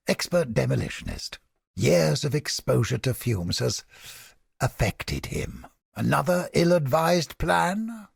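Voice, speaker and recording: A cynical old heretic scholar for a video game, his voice very husky and full of gravel. He is pompous, wordy and easily irritated, and his pitch swings dramatically across a very wide range. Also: fairly bright tone, dry recording, clean studio sound, heavily acted. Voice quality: raspy, gravelly. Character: cynical, haughty old heretic scholar with mind-control powers.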